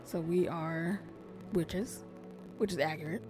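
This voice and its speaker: A woman streamer chatting casually while she plays, soft-spoken and sometimes nearly whispering. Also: on the low side, steady tone, takes it slowly, over music. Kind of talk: casual gameplay commentary. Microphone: desk streaming mic.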